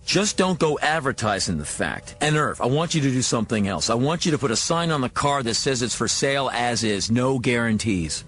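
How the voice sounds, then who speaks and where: A man's voice: mid-range voice, lively intonation, a bit bright, faint music behind, lightly acted. A man playing a slick but rattled American used-car salesman boss in a radio drama, slangy and exclamatory. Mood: sardonic, troubled. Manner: slangy, fast-talking, exclamatory.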